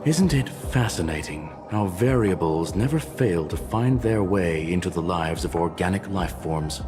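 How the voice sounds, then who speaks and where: An actor playing a detached, erudite intellectual in a video game, with a precise, theatrical, analytical manner. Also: male voice, low voice, expressive, measured pace. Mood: amused, analytical. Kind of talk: scripted video game monologue. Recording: background music under dialogue.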